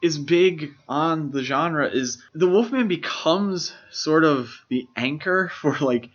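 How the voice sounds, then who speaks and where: A man's voice: a little high, slow pace, a bit bright. A man co-hosting a podcast, casually discussing film in an enthusiastic, explanatory way. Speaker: podcast co-host. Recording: slightly dull, lo-fi podcast audio.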